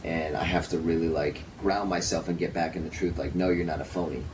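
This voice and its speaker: A man speaking as a podcast guest in a casual, reflective way, thoughtful and measured in short phrases. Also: deep voice, fairly even intonation. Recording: noisy room ambience.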